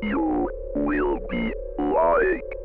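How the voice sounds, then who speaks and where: A performer voicing a coldly menacing inhuman life form, speaking deliberately and ominously in an analog horror piece. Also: extremely low pitch, steady tone, phone-quality sound, soft background music, full character voice. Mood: cold menace.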